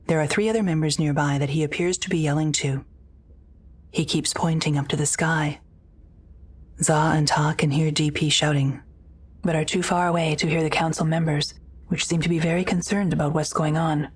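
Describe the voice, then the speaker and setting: A woman's voice: deep voice, steady tone, moderate pace, husky. A woman reading scripted present-tense narration for an audio drama, as the narrator.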